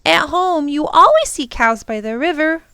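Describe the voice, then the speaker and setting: A woman voicing a young girl in a storybook, full of wonder and gushing excitably. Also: high-pitched, moderate pace, no room sound, clean studio sound, pitched above the performer's own voice, full character voice. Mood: idly curious. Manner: gushing, exclaiming.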